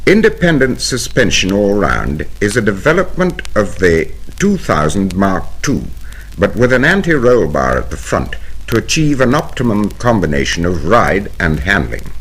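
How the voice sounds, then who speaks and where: Casting: British male narrator for a vintage training film, lowish husky voice, formal and precise, 1970 vinyl record sound. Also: a bit bright, poor audio. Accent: British English. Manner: formal, precise, measured explanatory delivery. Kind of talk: scripted instructional narration.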